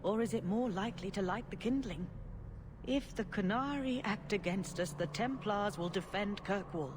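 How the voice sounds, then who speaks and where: An actress playing a dignified elderly female cleric in a video game, speaking in a stately, measured, diplomatic way. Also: mid-range voice, background noise, natural voice. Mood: stately, gracious.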